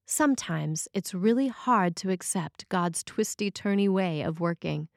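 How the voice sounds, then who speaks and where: A woman delivers a prepared sermon. Her voice sits somewhat low for a woman. The recording is close and dry, with very little room sound. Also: animated, measured pace, creaky, no echo. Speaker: preacher reading a written sermon. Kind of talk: scripted sermon reading.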